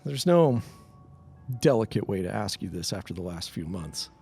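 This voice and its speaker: A man playing an easygoing, folksy young man in an audio drama, speaking in an animated way. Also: low voice, normal speed, creaky, husky, soft background music, heavily acted. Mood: hesitant teasing.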